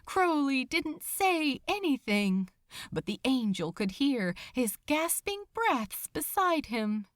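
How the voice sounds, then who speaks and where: A woman narrates an audiobook with solemn, suspenseful drama. Her voice sits fairly high and moves at a very unhurried, deliberate pace. The sound is very dry and intimate. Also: very animated, dry recording. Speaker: podfic narrator. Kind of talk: dramatic scripted story narration.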